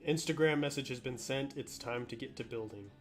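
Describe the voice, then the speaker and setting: A man hosting a casual live stream while sick, a bit nasal with frequent throat clearing, greeting viewers by name in a relaxed way. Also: medium pitch, a little fast, faint music behind.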